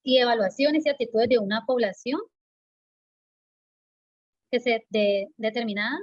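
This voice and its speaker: A woman's voice: on the high side, unhurried, no echo. A woman from Caquetá, Colombia, a student briefly reading a definition in a group presentation. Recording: dry, dull lo-fi mic.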